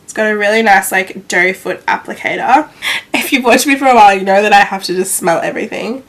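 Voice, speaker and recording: A woman's voice: mid-range voice, fairly quick, a bit bright. A young Australian woman with a congested, nasal voice, chatting casually through a makeup review and peppering her talk with "like". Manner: chatty, filler-heavy with frequent "like". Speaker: beauty vlogger.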